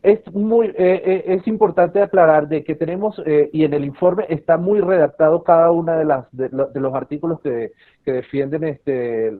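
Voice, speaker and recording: A man from Caracas with a Venezuelan accent, a civil association representative giving an earnest, hesitant advocacy statement full of fillers over a video call. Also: a little high. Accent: Caracas Venezuelan Spanish. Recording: tinny phone-like line.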